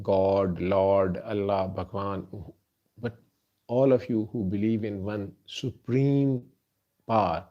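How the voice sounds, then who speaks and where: Earnest urdu-native guy, low voice, lots of uh's, muffled lo-fi recording. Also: mellow. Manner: earnest, halting, many fillers. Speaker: doctor and healer. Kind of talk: spoken address to listeners. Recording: dull lo-fi recording.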